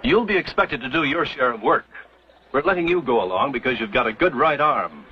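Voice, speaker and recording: An actor playing a stern, devout pioneer church elder who speaks in a solemn, firm way. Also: male voice, medium pitch, animated, a little fast, close to an everyday voice. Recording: dull old TV soundtrack with faint hiss.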